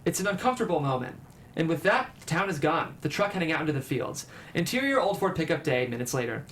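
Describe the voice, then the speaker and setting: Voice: medium pitch, big pitch swings, quick pace, a bit bright, slight background noise. A narrator reading scene headings and stage directions at a screenplay table read, in scripted narration.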